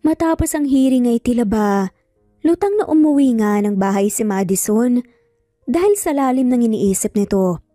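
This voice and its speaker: Mellow woman narrating, higher-pitched voice. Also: lively intonation, normal speed, no room sound, clean studio sound, soft background music. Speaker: story narrator. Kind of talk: scripted dramatic story narration.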